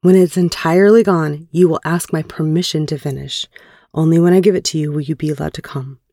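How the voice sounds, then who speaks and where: A woman's voice: sits low, steady tone, fairly quick, dark tone, no echo, studio quality. A woman voicing a dominant, aroused male lover, teasing and stern, in an audio erotic story. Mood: stern, commanding.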